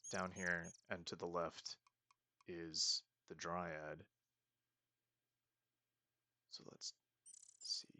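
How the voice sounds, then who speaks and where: A man's voice: low voice, flat delivery, drawn-out words, pauses often, sharp tone. A man casually commenting as he plays a game, chatty and self-mocking as he thinks aloud. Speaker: let's play host.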